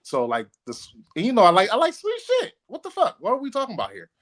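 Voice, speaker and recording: A man's voice: high-pitched, wildly swooping pitch, fairly bright tone. Guy cohosting a podcast, just chatting casual, jokey, swears a bit, cracks up easy. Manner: playful, profane, quick to crack up. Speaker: podcast co-host. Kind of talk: casual banter.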